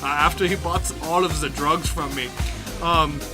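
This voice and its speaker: A man's voice: a little high, fairly even intonation, moderate pace, over music, natural voice. A man voicing a lewdly earnest German DJ with stilted, formal phrasing and a German accent in an improvised comedy monologue. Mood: dreamy, lewd.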